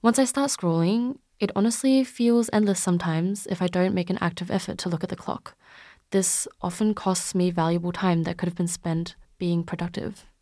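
A young woman in her twenties talks in a lowish voice, candid and reflective about her own habits. She is a student co-host on a podcast, and the sound is dry and close. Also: moderate pace, warm tone, no room sound. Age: 20s. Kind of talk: casual podcast discussion.

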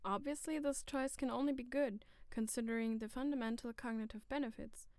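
Clear, higher-pitched woman presenting formally. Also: steady tone, fairly quick, a little mellow, no room sound, clean studio sound. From Germany. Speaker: co-presenter in a discussion on bilingualism. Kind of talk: scripted educational dialogue.

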